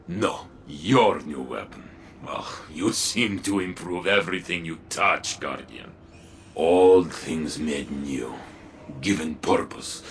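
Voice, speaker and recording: An actor playing a weary, humble, kindly old war legend in a video game, speaking in slow, reflective, gentle phrases. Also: male voice, a bass voice, slight background noise, exaggerated voice. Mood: warm, grateful. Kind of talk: video game story dialogue.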